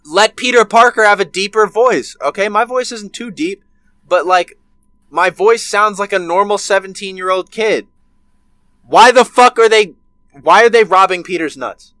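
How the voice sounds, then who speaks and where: Young man, 19, heated profane ranter, a bit high-pitched, webcam audio from a bedroom. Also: normal speed, sharp tone, dry recording. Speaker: podcast co-host. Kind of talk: casual chat.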